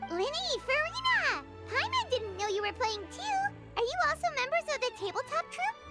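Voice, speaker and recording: A woman voicing a small, excitable fairy companion in a game, who talks about herself by name. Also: extremely high pitch, moderate pace, loud music behind the voice. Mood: curious. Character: small chirpy floating fairy companion, curious.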